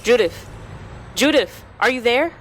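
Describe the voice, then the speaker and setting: A woman playing an excitable, curious caller in an audio drama, with a casual, wide-eyed way of talking. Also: fairly high pitch, unhurried, slight background noise. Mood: concerned.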